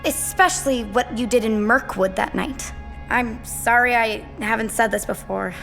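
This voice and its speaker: A woman's voice: a little high, moderate pace, gravelly, fairly bright tone. An actress playing a brainy, earnest, decisive teenage girl who leads her friends in an audio adventure drama. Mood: sincere. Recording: echoey hall, music underneath.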